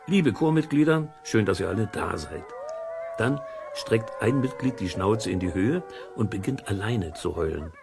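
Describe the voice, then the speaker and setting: A man's voice: mid-range voice, creaky, a little mellow, slight background noise. A man narrating an educational audio play for children, explaining things simply and conversationally with playful comparisons. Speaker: host and storyteller.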